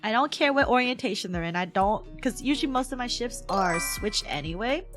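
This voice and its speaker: Animated, high-pitched woman livestreamer. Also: fairly quick, soft background music. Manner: giggly, playful, bantering. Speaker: VTuber livestreamer. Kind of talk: casual livestream chat with viewers.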